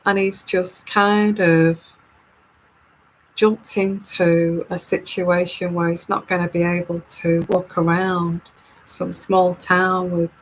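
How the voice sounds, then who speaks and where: A woman with a calming, soothing voice, hosting a live tarot stream and chatting casually as she reads viewer comments. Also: fairly low pitch, poor audio. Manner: unhurried, chatty and rambling.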